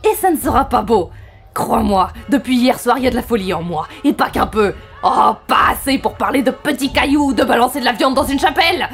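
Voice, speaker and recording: A woman's voice: on the high side, fairly quick, natural voice. A woman playing an exhausted, half-crazed holidaymaker with a sardonic, threatening delivery in a comedy audio drama. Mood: menacing. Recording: music bed behind the voice.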